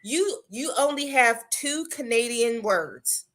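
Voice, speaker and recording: Chatty Southern American woman, high voice. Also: slow pace. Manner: chatty, laughs often, animated storyteller. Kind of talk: casual live chat.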